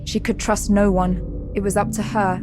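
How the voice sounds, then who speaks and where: A woman's voice: medium pitch, flat delivery, normal speed, lightly acted. A woman narrating a sci-fi audio drama in a grave, suspenseful storytelling style. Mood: ominous. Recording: prominent dramatic music under the voice.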